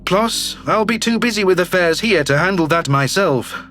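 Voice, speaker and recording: A man's voice: sits high, lively intonation, moderate pace, a bit bright, soft background music, pitched below the performer's own voice. A man voicing a smug, calculating alien governor, slick and self-satisfied, in a theatrical audio drama style. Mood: smug, commanding. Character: smug, calculating male alien politician, newly elected governor. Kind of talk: scripted sci-fi audio drama dialogue.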